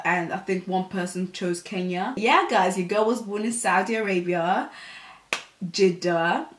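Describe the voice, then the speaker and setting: A woman's voice: mid-range voice, reverberant. A young woman, chatting casually to camera as a vlogger. She talks in slang with lots of fillers.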